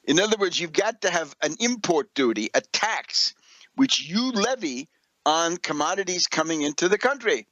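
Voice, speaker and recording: A man with a fairly high-pitched voice holds forth as a political commentator. He lectures off the cuff in an emphatic, sardonic way, repeating points and throwing in rhetorical asides. The sound is dry, without room echo. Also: animated, moderate pace, sharp tone. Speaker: political commentator and radio show host. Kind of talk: opinionated political monologue, speaking off the cuff.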